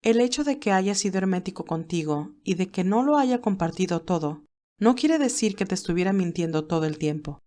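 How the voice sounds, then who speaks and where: A woman voicing a calm, motherly older woman who reasons in a measured, gently firm way in audiobook dialogue. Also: fairly low pitch, lightly acted.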